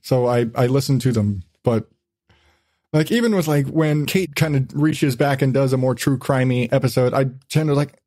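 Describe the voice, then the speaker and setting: A man's voice: mid-range voice, moderate pace, a little mellow, no room sound. A man speaks as a podcast host in a very dry recording with no room sound. His talk is casual and rambling, feeling its way through each thought with frequent rewording. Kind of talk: casual conversational podcast chat.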